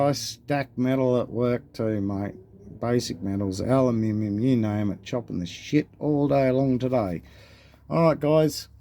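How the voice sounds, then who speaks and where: A man with an Australian accent and a deep voice, showing his coin collection in a laid-back, swearing, chatty way. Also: mellow, a little hiss or hum. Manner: laid-back, swears casually, calls viewers mate. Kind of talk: casual show-and-tell chat. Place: indoors at a table.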